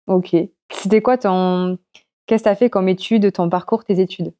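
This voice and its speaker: Woman, podcast host, mid-pitched, short casual questions. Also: steady tone, moderate pace, warm tone. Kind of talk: casual interview.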